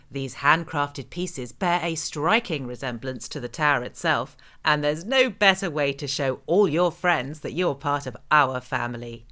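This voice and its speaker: A woman acting in a scripted horror-comedy podcast, playing a lively promo presenter and radio partner. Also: low voice.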